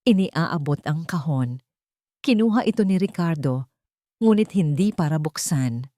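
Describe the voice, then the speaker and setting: Low-pitched woman narrating drama. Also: animated, a little slow, warm tone, no room sound, clean studio sound. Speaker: storyteller. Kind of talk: scripted dramatic story narration.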